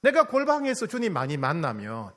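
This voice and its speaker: A man's voice: fairly high pitch, huge pitch swings, brisk. A male pastor preaching a sermon, asking the congregation questions, teasing them and repeating key phrases. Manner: repeats key phrases, swings from loud to soft.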